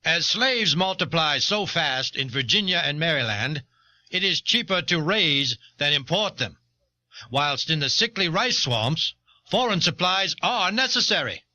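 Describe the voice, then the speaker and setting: Man acting a sharp-voiced, argumentative founding-era delegate. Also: on the high side, expressive, unhurried, full character voice. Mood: firm reasoning. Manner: formal, reasoning, emphatic. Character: pragmatic eighteenth-century New England delegate, argumentative. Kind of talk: dramatized historical debate speech. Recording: dull old cassette tape.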